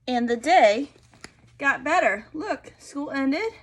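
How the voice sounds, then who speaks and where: Slow, expressive woman reading to children. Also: high voice. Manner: expressive, prompting listeners with questions. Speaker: storyteller reading a picture book to children. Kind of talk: read-aloud with questions to young listeners.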